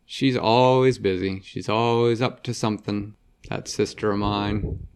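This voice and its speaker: A calm, easygoing older boy answers questions in a storybook, as a man reads him. He sounds relaxed and unhurried. His voice is close to the reader's own. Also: lowish voice, clear, resonant tone.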